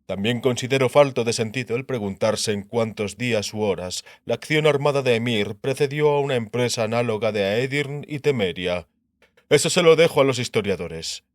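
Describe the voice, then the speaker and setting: A man voicing an assured, sharp-minded king in an audiobook, lecturing on war in declarative phrases and rhetorical questions. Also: medium pitch, moderate pace, no room sound, clean studio sound, close to an everyday voice. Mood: authoritative lecturing. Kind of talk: dramatised audiobook dialogue in Spanish.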